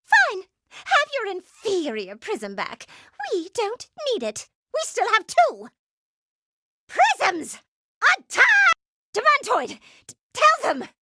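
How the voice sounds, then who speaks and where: A very high, piercing cartoon villainess, small, bratty and imperious. She swings wildly up and down in pitch as she blames and orders others around. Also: huge pitch swings, takes it slowly, bright, forceful tone, no echo, clean studio sound, full character voice. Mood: imperious, sulky. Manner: petulant, blaming, imperious. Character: bratty, haughty female gem villain, small and shrill. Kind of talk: animated game voice acting.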